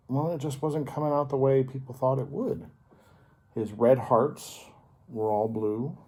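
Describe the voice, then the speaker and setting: A man reading a picture book aloud to children as a storyteller, expressively and with dramatic pauses. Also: medium pitch, a little mellow, husky.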